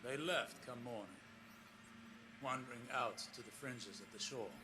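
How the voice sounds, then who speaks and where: An actor playing a weary, scholarly explorer in a science fiction game, recording a log in a measured, grave, formal way with brooding pauses. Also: male voice, medium pitch, background noise, lightly acted. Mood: uneasy, haunted. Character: weary, scholarly male sci-fi explorer, solemn and troubled.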